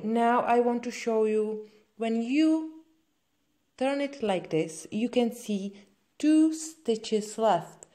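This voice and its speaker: Female craft tutorial host, native Czech speaker with Czech accent; unhurried, mid-pitched, simple hesitant wording. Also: mellow. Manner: simple, hesitant non-native English phrasing. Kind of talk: spoken step-by-step craft tutorial.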